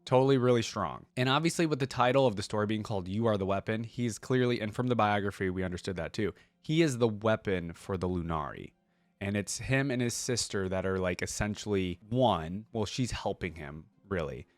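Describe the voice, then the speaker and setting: Low-voiced man reacting casually, dry close sound. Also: brisk, dry recording. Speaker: reaction video host. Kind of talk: casual reaction commentary, reading aloud and reacting.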